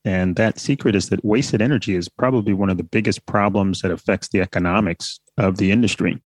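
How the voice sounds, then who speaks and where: A man being interviewed as a company founder, explaining in a measured way with some light fillers. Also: fairly low pitch, dry recording, studio quality.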